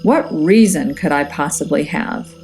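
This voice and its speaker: A woman's voice: low-pitched, a little slow, darkish tone, soft background music. A woman voicing a proud, menacing male lion in a storybook, with a haughty, growling manner.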